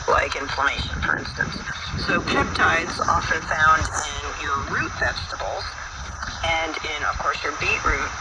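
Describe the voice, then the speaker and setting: A man hosting a radio talk, explaining informally and reading aloud in a halting, folksy way, heard over shortwave radio. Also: fairly high pitch, creaky. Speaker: radio show host. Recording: very noisy shortwave radio broadcast, distant.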